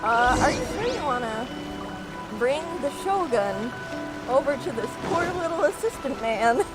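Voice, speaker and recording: A woman's voice: high voice, lively intonation, a little slow, poor audio. A woman streamer giving casual reactions while playing a video game. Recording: loud game music behind the voice.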